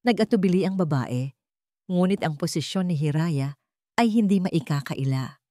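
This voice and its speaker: Filipino woman reading out a dramatic story, lowish voice, very dry close sound. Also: lively intonation, moderate pace, mellow, no room sound. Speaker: story narrator. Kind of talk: scripted dramatic story narration.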